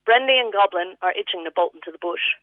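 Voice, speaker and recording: A woman's voice: on the low side, quick pace, no echo. A woman with an Australian accent reading a personal eulogy as vivid literary storytelling. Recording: thin phone-line sound.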